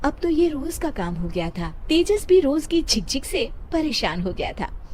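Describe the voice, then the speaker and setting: A woman's voice: sits high, big pitch swings, measured pace, darkish tone, faint music behind. A woman storyteller reading a scripted moral story as narrator.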